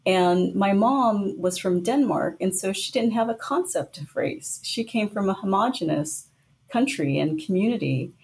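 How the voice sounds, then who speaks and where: A woman's voice: mid-range voice, expressive, measured pace, gravelly, a little mellow. A middle-aged woman guest in an interview, telling her story thoughtfully. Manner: thoughtful storytelling, light laughs. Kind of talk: conversational interview.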